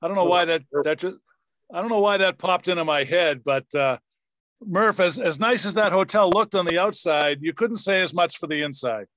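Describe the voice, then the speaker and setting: A man's voice: high-pitched, moderate pace, a bit bright, no room sound. A man hosting a podcast, talking conversationally with his guests. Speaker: podcast host, former radio play-by-play announcer.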